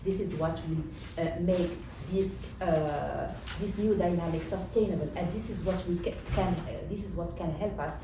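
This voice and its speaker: A woman speaking on a panel in a halting way, pausing often with "uh" as she searches for words. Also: lowish voice. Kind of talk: panel discussion. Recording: very echoey hall, distant mic, background noise.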